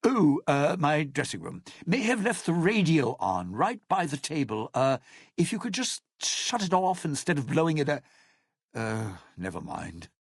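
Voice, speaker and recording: A self-serving ghoul showman from a video game, his voice heavily gravelled and husky. He drawls unhurriedly in clipped, sardonic phrases, with theatrical flair and very wide swings of pitch. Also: wildly swooping pitch, measured pace, dry recording, studio quality, exaggerated voice. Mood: cautious instructing. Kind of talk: video game character dialogue.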